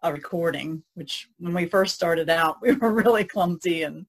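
A woman co-hosting a casual podcast over a video call, halting as she searches for words. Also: lowish voice, breathy edge, rough recording. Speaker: podcast co-host, artist. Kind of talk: casual chat. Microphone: video call microphone.

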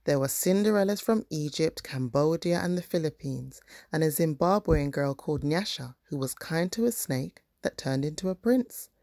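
A woman narrates a children's storybook as a parent reading aloud. Her voice is low and the sound is dry and close. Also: animated, moderate pace, hardly any pauses, mellow, dry recording.

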